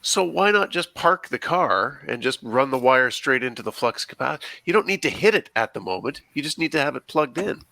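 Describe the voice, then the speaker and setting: A man's voice: medium pitch, sing-song, fairly quick, gravelly, few pauses, bright, forceful tone, no echo. A man chatting casually at a script read, pointing out details and arguing his case. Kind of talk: casual chat during a table read.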